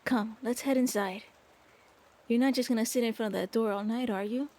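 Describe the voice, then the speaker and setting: Woman as a higher-pitched, soft-spoken vampire prince. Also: steady tone, brisk, natural voice. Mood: gentle, reassuring. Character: young male vampire prince, gentle, lonely, eager host. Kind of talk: anime-style ASMR roleplay.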